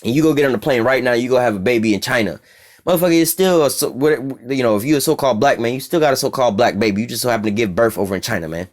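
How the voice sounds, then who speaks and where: A man's voice: medium pitch, racing. A man from the United States with an American accent, giving an informal, profane bible teaching and keeps asking "all right?".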